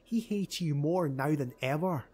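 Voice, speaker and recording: A man's voice: fairly high pitch, unhurried, warm tone, breathy edge, voice pushed up. A man playing a skeptical female elf warrior. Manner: pointed, skeptical.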